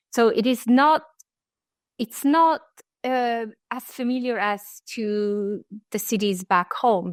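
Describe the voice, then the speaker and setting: Woman, program director answering questions, measured and explanatory, mid-pitched, dry close sound. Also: dry recording. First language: Turkish. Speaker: study abroad program director. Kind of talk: interview answers.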